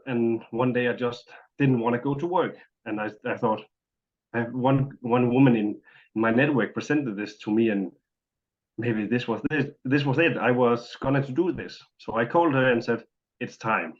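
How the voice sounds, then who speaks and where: A man's voice: mid-range voice, normal speed. A young man from Denmark with a Danish accent, speaking English carefully and searching for words. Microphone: video call. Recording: muffled video call audio.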